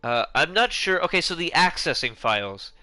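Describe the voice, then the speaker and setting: A man's voice: a little high, very animated, normal speed, bright, forceful tone, no echo. A man giving casual, rambling commentary on a video game, often restarting his sentences and swearing offhand. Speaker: gaming YouTuber.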